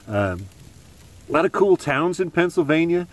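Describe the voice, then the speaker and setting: A man's voice: on the high side, normal speed. Chatty middle-aged man vlogging outdoors. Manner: relaxed and chatty, many filler "uh"s. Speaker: hiking vlogger. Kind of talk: casual vlog to camera. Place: under a tarp in snowy woods, outdoors. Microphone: camera at arm's length.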